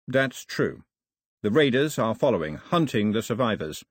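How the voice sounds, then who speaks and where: A man voicing a serious, proud young island chief with a measured, blunt way of speaking. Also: medium pitch, very animated, dry recording, clean studio sound. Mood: grave.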